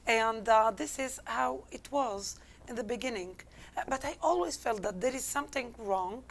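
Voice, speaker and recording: A woman's voice: a little high, normal speed, gravelly, slight background noise. Algerian-accented woman telling her story. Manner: candid, reflective, non-native English phrasing. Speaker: interview guest. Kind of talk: personal storytelling in an interview.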